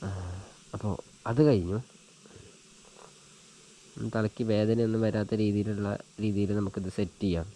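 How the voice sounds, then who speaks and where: A man with a fairly low voice and a dark, relaxed tone. He talks like a casual vlogger showing a practical job, informally thinking aloud as he works. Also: moderate pace, pauses often, slight background noise, rough recording. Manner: informal, thinking aloud while working with his hands. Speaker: vlogger giving a hands-on tutorial. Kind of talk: casual chat while demonstrating an installation. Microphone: action camera mounted on a helmet he wears.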